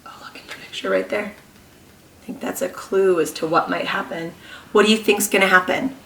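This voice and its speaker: A woman's voice: mid-range voice, gravelly, in a whisper, recorded from a distance. Echoey woman teacher reading to kids. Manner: encouraging, expressive, pauses to question children. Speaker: kindergarten teacher. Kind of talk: read-aloud storytelling lesson for young children. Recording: echoey room.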